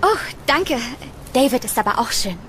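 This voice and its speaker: A kind but anxious young woman, played by an actress, high-pitched and earnest. She is the trusting heroine of a horror audio drama, expressive, over faint ambient noise. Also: normal speed. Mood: friendly, curious.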